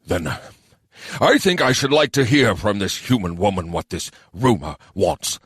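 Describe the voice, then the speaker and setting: Man acting a bossy, husky goblin mayor. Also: lowish voice, lively intonation, unhurried, a bit bright, no echo, studio quality, heavily acted. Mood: scheming, commanding. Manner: grumbling, commanding. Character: scheming, bossy male goblin mayor, gruff and irritable. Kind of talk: audiobook character voice.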